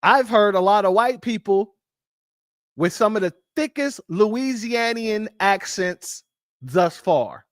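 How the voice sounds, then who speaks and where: Emphatic livestream host, very lively pitch. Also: slow, no room sound, clean studio sound. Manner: heated, emphatic. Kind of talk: livestream commentary.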